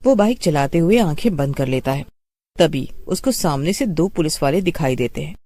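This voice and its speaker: A woman's voice: low-pitched, animated, moderate pace, warm tone. A woman storyteller reading a scripted horror tale as narrator.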